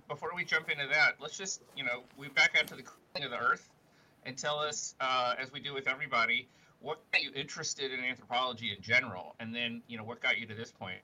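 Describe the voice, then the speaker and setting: A man's voice: mid-range voice, steady tone, fast, sharp tone, rough recording. A man co-hosting a podcast, joking and repeating words as he starts sentences. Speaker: podcast co-host. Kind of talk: casual chat.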